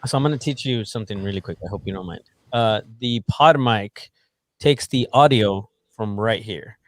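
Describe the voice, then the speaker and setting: A man co-hosting a podcast over a call in headphones, chatting playfully and teasing his co-host with quick laughs. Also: on the low side, no echo. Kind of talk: casual podcast chat. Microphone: podcast mic on a remote call, wearing headphones.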